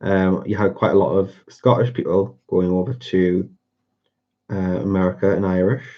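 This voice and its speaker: A man's voice: deep voice, level tone, moderate pace. A man with a North East England accent, chatting casually as host of a colouring livestream, rambling and hesitant with many fillers. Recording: dull lo-fi sound.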